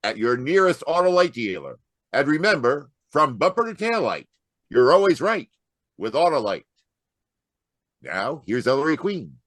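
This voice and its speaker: Guy doing an upbeat old radio announcer, salesy and polished, mid pitch, super animated. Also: moderate pace, pauses often, no room sound, clean studio sound. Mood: upbeat, selling.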